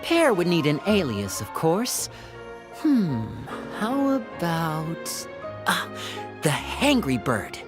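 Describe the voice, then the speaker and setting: A charming young stage magician talks in a low, unhurried voice with wide, playful swings. He savors each idea like a showman. Game music runs under him. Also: huge pitch swings, exaggerated voice. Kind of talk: game voice acting.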